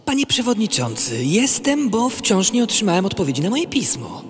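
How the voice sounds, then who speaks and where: A man's voice: sits high, very animated, moderate pace, in a large hall. An actor playing an ambitious young male mosquito politician in an audio drama, formal, polite and precise. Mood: stiffly polite.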